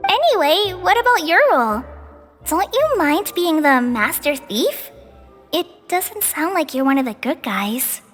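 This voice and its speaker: A shy, earnest young forest ranger in a game cutscene. She talks slowly and hesitantly with a lively high voice, over clear background music. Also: full character voice. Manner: hesitant, polite, slightly nervous. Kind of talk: video game voice acting.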